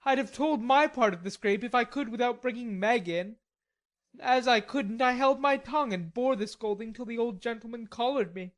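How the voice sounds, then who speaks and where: A woman reading an audiobook, voicing a stubborn, hot-tempered young gentleman in indignant outbursts. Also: fairly high pitch, moderate pace, voice pushed up, natural voice. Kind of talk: audiobook character voice.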